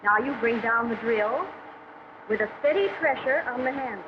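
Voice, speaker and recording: A muffled 1940s training film soundtrack with clear noise carries a high-pitched actress as a young woman learning factory work. She is eager and attentive, speaking in careful, deliberate steps. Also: natural voice. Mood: careful, reciting. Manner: careful, deliberate step-by-step recitation. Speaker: trainee drill press operator.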